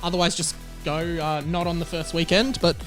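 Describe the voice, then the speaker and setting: A man with an Australian accent and a high-pitched voice talks casually as a podcast host. He is jokey and conversational, riffing on silly details with a guest. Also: little pitch movement, moderate pace, over music. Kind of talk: casual comedic chat.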